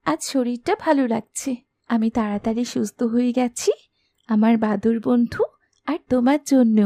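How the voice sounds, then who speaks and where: A woman playing a gentle, affectionate young princess in a fairy tale cartoon. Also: a little high, normal speed, no echo, natural voice. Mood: grateful, happy.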